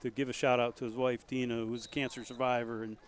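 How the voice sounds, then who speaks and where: A man calling a local high school football game play by play, folksy and rising to loud excitement on big plays. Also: fast talker, a little hiss or hum. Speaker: play-by-play commentator.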